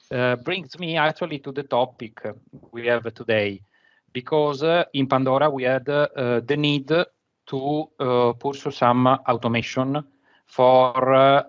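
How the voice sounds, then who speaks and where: A man in his forties with an Italian accent presents to a conference remotely from his office. He speaks in a halting way, stopping often to find his words, at an ordinary middle pitch. The video call audio is dull and lo-fi. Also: fairly bright tone, clean tone. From Italy. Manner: halting, searching for words between phrases.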